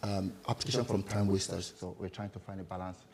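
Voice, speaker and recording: Male, Nigerian accent, lowish voice, jovial entrepreneur being interviewed live. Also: steady tone, fast, darkish tone, a little hiss or hum. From Lagos, Nigeria. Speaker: guest entrepreneur at a business event. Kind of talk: live interview answers to an audience.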